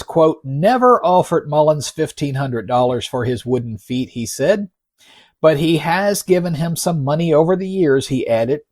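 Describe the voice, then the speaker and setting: Male podcast narrator, slightly higher-pitched voice, very dry sound, folksy and wry, deliberate emphasis. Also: a little mellow, no room sound. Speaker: podcast host. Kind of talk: narrated podcast storytelling with read-out article quotes.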